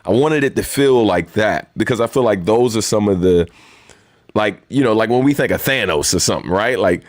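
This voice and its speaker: A man with a very deep voice hosting a weekly live stream, chatting casually in a laid-back, rambling way with lots of fillers. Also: brisk, no echo, clean studio sound. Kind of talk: casual livestream chat and Q&A.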